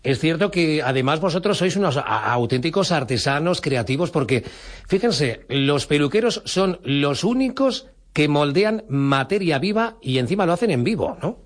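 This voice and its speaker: A man's voice: fairly high pitch, animated, moderate pace. Spanish male radio presenter interviewing. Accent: Spanish from Spain. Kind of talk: live promotional radio interview.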